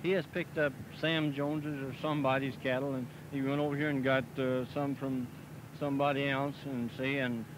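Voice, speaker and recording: Plain-spoken farmer, noisy film audio. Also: male voice, mid-range voice, level tone. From Missouri, US. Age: middle-aged. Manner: plain-spoken and halting, with frequent "uh" and "see?". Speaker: packing plant representative for a farmers group. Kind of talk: filmed outdoor interview. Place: outdoors by a cattle pen. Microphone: handheld mic held by interviewer. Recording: noisy 1970s 16mm film sound, outdoors.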